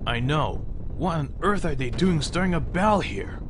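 Man playing an animated, high-pitched, earnest village doctor. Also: moderate pace, background noise, natural voice. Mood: concerned, firm. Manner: formal, precise wording, urgent. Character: earnest, protective village doctor, formal and commanding. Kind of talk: fan dub acting of game drama.

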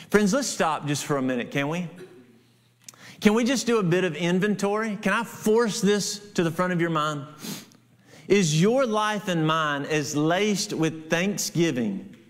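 An American man in his thirties preaching an expository sermon as a pastor, earnest and emphatic, with pauses and rhetorical questions. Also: sits high. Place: church hall with hard floor, small congregation. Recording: reverberant hall sound.

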